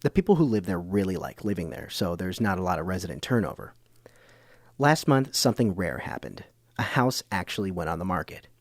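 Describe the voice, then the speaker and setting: A man plays a puzzled, earnest documentary host in a radio comedy. He has a fairly low, very expressive voice in a dry recording, scripted and conversational. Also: fairly quick, no echo, full character voice. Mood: measured, wry. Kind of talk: public radio scripted narration and interviewing.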